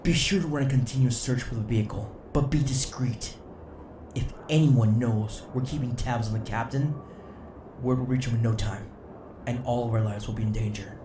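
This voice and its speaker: An actor playing a cautious senior commander in a sci-fi audio drama, measured and authoritative. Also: male voice, mid-range voice, fairly even intonation, close to an everyday voice. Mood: stern. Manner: measured, firm. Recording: echoey room, clear ambient noise.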